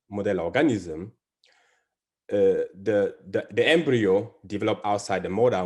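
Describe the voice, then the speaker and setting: Low-voiced guy from cameroon presenting biology slides on a call, lots of uhs and restarts. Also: a little mellow. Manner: halting, many fillers and restarts. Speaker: biology professor. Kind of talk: academic presentation.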